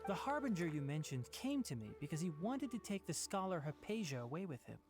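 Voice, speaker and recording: A composed game physician with a low voice and expressive intonation. She is measured and guarded, with music clearly behind her. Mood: guarded, calm. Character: composed, wary, perceptive woman physician. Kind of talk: scripted video game voice acting.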